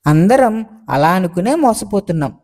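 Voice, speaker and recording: An actor playing a suspicious, indignant village baker in a moral story, quick to accuse others. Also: male voice, high-pitched, big pitch swings, a little mellow. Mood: aggrieved. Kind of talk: acted dialogue in a moral story.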